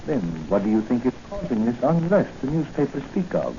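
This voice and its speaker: A male actor as a concerned, kindly doctor and confidant in a radio serial. The voice is mellow and swings up and down in pitch. It comes through a dull 1940 recording. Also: expressive, fairly quick, slight background noise, lightly acted. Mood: inquisitive, concerned. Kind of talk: 1940s radio acting.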